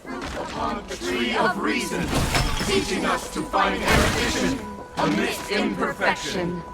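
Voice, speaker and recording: A man's voice: high-pitched, big pitch swings, measured pace. A man playing a fervent worshipper in a video game, declaiming exalted hymns of praise like a liturgy. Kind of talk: theatrical video game oration. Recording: loud music behind the voice.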